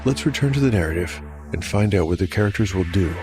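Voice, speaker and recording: A man narrating scripted dark fantasy as game master, in a measured, solemn and carefully enunciated manner. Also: low-pitched, animated, over music.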